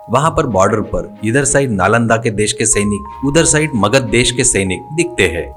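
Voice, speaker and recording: A man's voice: fairly low pitch, a bit bright. Male storyteller with music behind. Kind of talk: scripted comic folk-tale narration.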